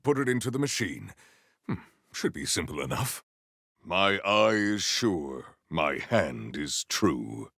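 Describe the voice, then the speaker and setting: A man's voice: fairly low pitch, a bit bright, dry recording, studio quality, exaggerated voice. A man playing a solemn, proud warrior in a video game, speaking with formal, stately diction and dramatic weight. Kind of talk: scripted game character lines.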